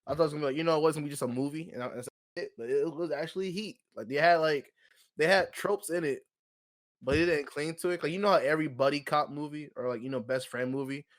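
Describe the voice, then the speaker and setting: A man's voice: on the high side, fast. Slangy man chatting about movies. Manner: slangy, conversational, animated opinions. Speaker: podcast co-host. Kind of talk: casual movie discussion chat.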